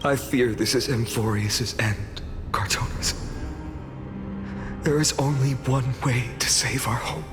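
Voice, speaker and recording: An actor playing a grave, resolute young heroic lord in a video game, speaking in a measured, formal and earnest way. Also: male voice, medium pitch, natural voice. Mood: grave, tender. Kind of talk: English video game voice acting. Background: game music.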